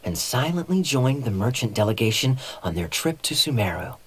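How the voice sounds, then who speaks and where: A man playing a suave, sly young knight captain in a video game, teasing and evasive, with smooth theatrical irony. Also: mid-range voice, sing-song, moderate pace. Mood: wry, reminiscing. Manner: smooth, theatrical, playfully ironic. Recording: soft music behind the voice.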